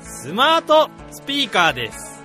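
A man playing an eager, excitable researcher who is deferential to his boss, in a comedic radio drama. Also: very high-pitched, normal speed, soft background music, heavily acted. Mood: eager presenting. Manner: exclamatory, eager. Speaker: voice actor, also narrator.

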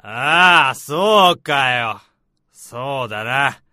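A man's voice: medium pitch, expressive, extremely slow, pushed and bright, no echo, clean studio sound, heavily acted. A man playing a blunt, hot-tempered AI developer in a radio drama, with dramatic, emphatic delivery. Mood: bitter, menacing. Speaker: lead character and narrator.

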